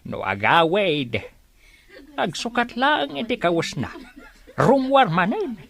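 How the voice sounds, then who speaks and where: A man's voice: high voice, big pitch swings, slow, creaky, a bit bright, exaggerated voice. A man playing a worried old father in a radio drama, sighing and lamenting about his wayward son. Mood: lamenting, pleading.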